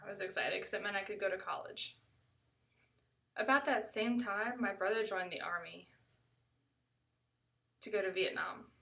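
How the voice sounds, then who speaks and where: A woman with an American accent recounts a personal story in a conversational style. Her voice is bright and clearly edged, at an average pitch for a woman. It sounds slightly distant from the microphone. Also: normal speed, pauses often.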